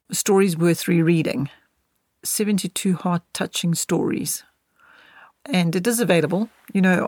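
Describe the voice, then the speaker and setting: A middle-aged woman speaks as a podcast host in a fairly low voice. She talks conversationally and gently, often repeating a phrase for emphasis. The sound is very dry, with hardly any room. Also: dry recording. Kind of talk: casual, conversational podcast introduction.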